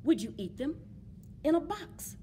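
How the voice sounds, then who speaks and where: Storybook pest read by a woman: eager, coaxing, high lively pitch, clear room noise. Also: a little slow. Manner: coaxing, insistent questioning. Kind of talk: rhyming picture-book read-aloud. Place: public library children's book corner.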